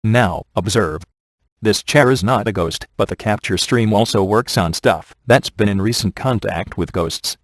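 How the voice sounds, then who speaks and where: A man's voice: lowish voice, measured pace, no room sound. A man playing a wisecracking, laid-back ghost hunter in a video game, with a dry, sardonic and glib delivery. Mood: wry instruction. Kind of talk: scripted video game character dialogue.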